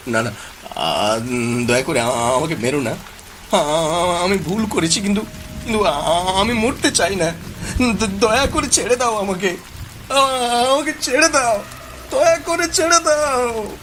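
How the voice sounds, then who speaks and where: A man playing a frightened, remorseful man in a horror cartoon, stammering and pleading haltingly. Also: sits high, lively intonation, noisy background. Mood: terrified, begging. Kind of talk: animated horror story acting.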